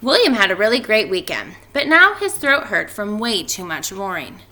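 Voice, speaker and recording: A woman speaking as a teacher doing a storybook read-aloud for children, expressive and emphatic in her delivery. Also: mid-range voice, normal speed, slight background noise. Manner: animated, expressive delivery with emphatic stretched words.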